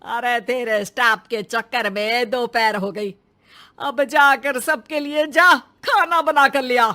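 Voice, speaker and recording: A woman voicing an impatient older mother-in-law in a cartoon story, scolding and grumbling in drawn-out phrases. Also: a little high, fairly even intonation, sharp tone. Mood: scolding, fed up.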